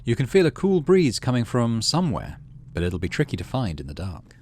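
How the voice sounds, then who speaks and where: A man's voice: medium pitch, huge pitch swings, fairly quick, a little mellow, breathy edge. A man hosting a game playthrough, reading story text aloud expressively and adding casual asides. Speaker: gaming video host.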